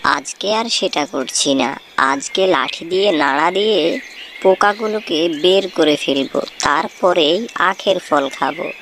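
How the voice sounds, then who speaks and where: A woman's voice: on the low side, a little slow, fairly bright tone, a little hiss or hum. A woman voicing a sly, foolish and greedy fox in a cartoon folk tale, exclaiming and talking to itself. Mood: scheming, sing-song.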